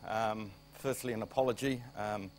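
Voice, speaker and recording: A man with a New Zealand accent presents at a conference in a lowish voice, plain and a little wry. He is fighting off a cold and often restarts his phrases. A faint hum sits behind him. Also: fairly even intonation, normal speed, darkish tone. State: coming down with a cold. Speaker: plant director.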